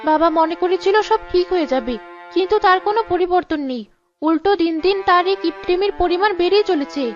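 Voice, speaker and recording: A woman's voice: very high voice, brisk. A woman playing a long-suffering young bride in a cartoon, polite, lamenting and reproachful. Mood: sorrowful. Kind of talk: cartoon dialogue acting. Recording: dull lo-fi sound with music behind.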